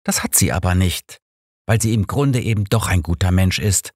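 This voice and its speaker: A man's voice: sits low, normal speed, darkish tone, breathy edge, dry recording, voice pushed down. A man plays a detective's loyal male sidekick in an audiobook. He argues his case step by step, reassuring and insistent, in a dry recording. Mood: reasoning, insistent. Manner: argumentative, reasoning. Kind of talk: audiobook character reading.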